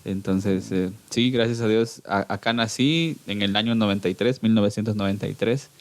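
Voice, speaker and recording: A man's voice: on the low side, steady tone, normal speed. Nervous young Guatemalan man. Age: 20s. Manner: nervous, self-joking, laughs often. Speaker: guest. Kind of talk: casual conversational interview.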